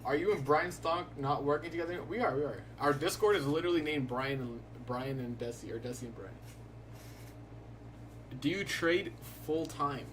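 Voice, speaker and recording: A man's voice: on the high side, normal speed, fairly bright tone, slight background noise, low-quality recording. A young man hosting a live stream, chatting casually in a laid-back, rambling way and filling his talk with "like". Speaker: livestreaming day trader.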